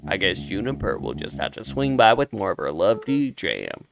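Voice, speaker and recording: A man's voice: sits low, big pitch swings, gravelly, voice pushed down, heavily acted. A man voicing a sweet-talking, folksy old male shopkeeper in a cartoonish video game. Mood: smitten, folksy. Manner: folksy, slow, flirtatious.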